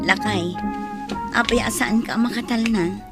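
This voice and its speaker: A woman's voice: a little high, unhurried, loud music behind the voice, natural voice. Woman acting a worried, nagging Ilocano farmer's wife. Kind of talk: Ilocano radio drama acting.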